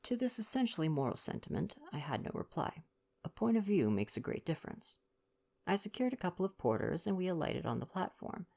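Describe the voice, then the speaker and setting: A young man reading a story gently, in a soft bedtime ASMR style. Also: high voice, big pitch swings, brisk, creaky. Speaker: audiobook-style reader and ASMR performer.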